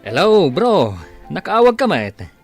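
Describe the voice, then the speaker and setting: A man's voice: high-pitched, sing-song, measured pace, music in the background, heavily acted. A man playing a chummy, teasing friend chatting on a call in a radio drama.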